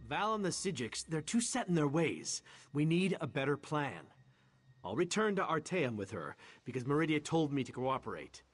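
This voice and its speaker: A man's voice: a little high, sing-song, normal speed, slightly rough. A man voicing a disillusioned, impatient warrior in a fantasy video game, speaking bluntly with a wry edge. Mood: frustrated, defiant.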